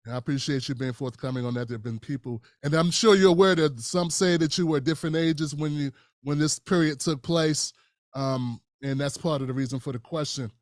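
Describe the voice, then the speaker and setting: A man hosting a live-stream interview, speaking in a measured way with frequent fillers and restarts. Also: fairly high pitch, no echo, studio quality. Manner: measured, many fillers and restarts, calls guest brother. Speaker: host.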